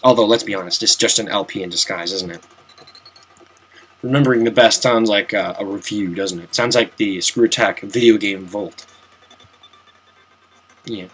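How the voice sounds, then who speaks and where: A man's voice: fairly low pitch, moderate pace, long pauses, a bit bright, slight background noise. A young man giving casual, chatty commentary while playing through a game, with self-mocking jokes and asides. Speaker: let's play commentator.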